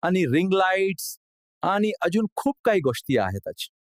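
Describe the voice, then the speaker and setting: Man as a high-voiced monkey vlogger. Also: big pitch swings, moderate pace, dry recording, clean studio sound. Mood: presenting. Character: monkey auditioning as a vlog presenter. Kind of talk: vlog-style studio tour presentation.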